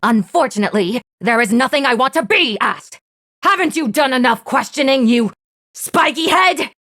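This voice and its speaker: A woman's voice: sits high, lively intonation, normal speed, a bit bright. An actress voicing a spiteful, theatrical jailed young woman from a video game, who swings between mock sweetness and venom. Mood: venomous. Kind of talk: dramatized video game dialogue.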